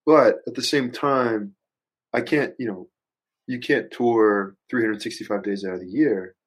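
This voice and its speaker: A man chatting casually as a musician guest on a podcast, thinking out loud in a rambling, hesitant, reflective way and swearing casually. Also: fairly low pitch, measured pace, husky.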